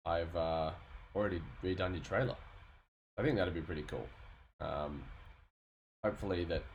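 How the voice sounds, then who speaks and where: A man in his thirties chatting casually and thinking aloud as he streams his work as a sound designer. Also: low-pitched. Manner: rambling, informal, thinking aloud. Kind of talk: casual livestream chat while working. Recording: noisy home stream mic, background noise.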